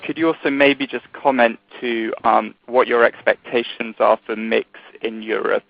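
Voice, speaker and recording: Even-toned male analyst, dull phone sound. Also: mid-range voice, normal speed, creaky, no room sound. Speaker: analyst asking questions. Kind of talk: polite question on earnings call.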